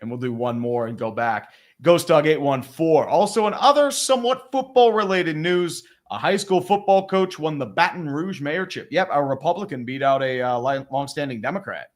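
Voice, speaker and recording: A man's voice: on the high side, moderate pace, few pauses. A man co-hosting a casual sports chat, sardonic, reading viewer comments aloud and riffing on them. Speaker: podcast co-host.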